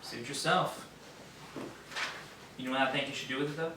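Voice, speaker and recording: A man's voice: mid-range voice, normal speed, lightly acted. A man playing a self-assured radio drama writer in a stage play, casual and slightly patronizing. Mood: encouraging, matter-of-fact. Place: theater stage, distant mic. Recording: very echoey stage, distant, noisy.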